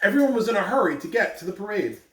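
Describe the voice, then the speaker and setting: An American man speaks as a school principal addressing pupils, upbeat and deliberate with emphatic phrasing. His pitch moves around in a lively way. He sounds set back from the microphone in an echoey room with some background noise. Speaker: school principal reading announcements. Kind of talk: morning announcements and children's read-aloud. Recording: roomy, distant mic with background noise.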